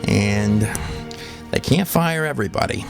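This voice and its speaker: A man presents a documentary in a low register with a gravelly edge. He moves between narrating and interviewing, with halting, deliberate phrasing. Music plays clearly behind him. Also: lively intonation. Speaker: host and interviewer.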